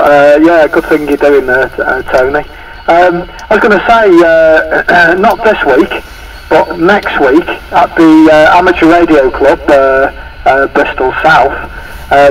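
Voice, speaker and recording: A man's voice: fairly high pitch, unhurried. A man chatting casually as a caller over a two-way radio. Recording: distant, noisy two-way radio transmission.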